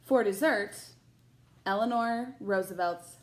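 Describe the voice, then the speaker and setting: A woman's voice: medium pitch, takes it slowly. A woman teacher reading a children's picture book aloud expressively, with short asides to her listeners.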